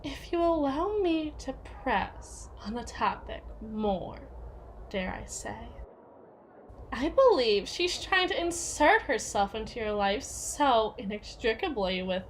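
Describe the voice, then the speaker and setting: A woman's voice: a little high, very animated, slow pace, a little hiss or hum, exaggerated voice. A woman playing a domineering, jealous yandere with biting sarcasm and a taunting, theatrical manner in an ASMR roleplay. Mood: menacing, haughty. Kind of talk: ASMR roleplay audio drama.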